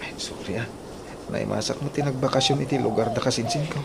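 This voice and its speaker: A man's voice: mid-range voice, quick pace, creaky, natural voice. A man with an Ilocano Filipino accent playing an evasive, penniless young boyfriend, stammering and apologetic, in a comic radio drama. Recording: noisy radio drama recording.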